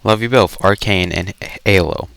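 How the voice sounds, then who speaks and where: Young male gamer, low smooth voice, casual, reads aloud with small stumbles. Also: fairly bright tone, no room sound. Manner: halting, stumbles over words while reading. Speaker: gamer reading fan fiction aloud. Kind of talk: casual game chat and read-aloud.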